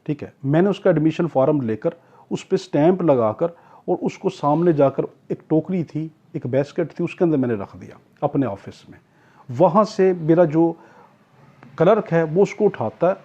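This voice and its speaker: A man with a fairly high, husky voice lectures like a tutor. He explains step by step, repeats key points and poses questions that he answers himself. The recording is dull and lo-fi. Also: warm tone. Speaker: law tutor. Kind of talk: explanatory exam-prep lecture with everyday examples.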